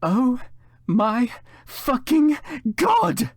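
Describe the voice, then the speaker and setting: A man plays a melodramatic teenage goth vampire girl from a storybook. The voice is pitched high and swoops wildly, gushing and coy, with over-dramatic delivery, recorded dry and close. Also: wildly swooping pitch, drawn-out words, no room sound, full character voice. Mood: shrieking, outraged excitement.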